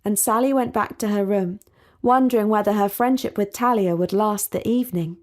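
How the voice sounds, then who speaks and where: A woman narrating a storybook for English learners in a clear, measured, deliberate way. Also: mid-range voice.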